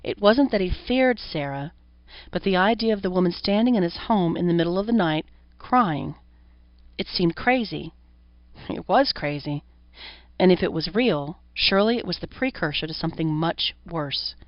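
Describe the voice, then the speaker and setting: A woman's voice: on the low side, expressive, moderate pace. A woman reading a horror story as an audiobook narrator, in scripted narration. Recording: roomy echo, dull lo-fi sound.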